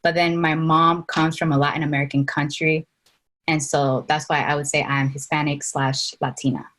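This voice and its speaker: A woman's voice: low-pitched, flat delivery, normal speed, fairly bright tone. A young woman from Spain, a college student on a panel, explaining things earnestly with frequent "like" fillers. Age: young adult. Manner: earnest, uses many "like" fillers. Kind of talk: casual explanation.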